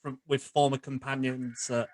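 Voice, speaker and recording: A man's voice: mid-range voice, level tone, moderate pace. A man hosting a casual interview, asking questions in a conversational way. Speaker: podcast host, interviewer.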